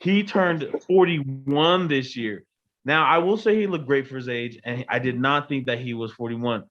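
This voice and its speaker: A man in his twenties, a podcast guest, telling a personal story in a casual, animated way, often checking in with the listener. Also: medium pitch, moderate pace, dull audio. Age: 20s. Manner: animated storytelling, frequent "you know what I mean". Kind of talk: casual chat.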